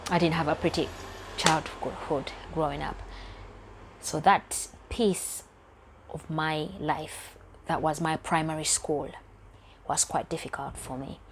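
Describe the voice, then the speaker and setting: A woman's voice: low voice, steady tone, slow pace, a little hiss or hum, poor audio. A young woman from Uganda telling her own life story candidly in a personal vlog, often dropping to a near whisper. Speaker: vlogger.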